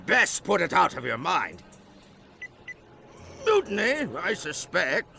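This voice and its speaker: Creaky, high-voiced old island storyteller. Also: male voice, wildly swooping pitch, slow pace, bright, forceful tone, a little hiss or hum, full character voice. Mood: folksy. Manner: folksy, theatrical. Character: folksy old island elder, talkative storyteller. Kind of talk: animated video game cutscene acting.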